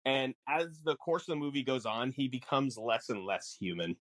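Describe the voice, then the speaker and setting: A man co-hosting a podcast, explaining things casually in halting, piecemeal phrases. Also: medium pitch, animated, a bit bright, no room sound. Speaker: podcast co-host.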